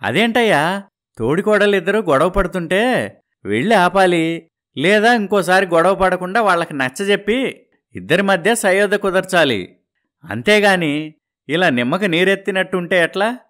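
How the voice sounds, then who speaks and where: A man's voice: sits high, lively intonation, normal speed, pauses often, fairly bright tone. A man voicing earnest village men giving advice in a scripted cartoon moral story. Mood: concerned.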